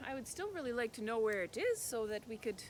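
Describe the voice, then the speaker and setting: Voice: mid-range voice, sing-song, fast, slight background noise, natural voice. A storyteller voicing a nervous, eager young boy who serves a troll, in a raised, fawning voice.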